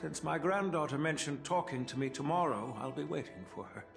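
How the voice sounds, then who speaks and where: An actor playing a composed, dutiful older grandfather in a video game, speaking in a measured, deliberate way. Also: fairly high pitch, expressive, vocal fry. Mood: reflective, earnest. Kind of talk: scripted game dialogue. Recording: loud background music under voice. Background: music.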